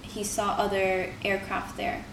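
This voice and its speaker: A woman's voice: mid-range voice, completely flat delivery, a little slow, gravelly. A young woman giving an earnest, informal persuasive speech, like a student presenting to a class. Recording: roomy, distant mic, noisy background.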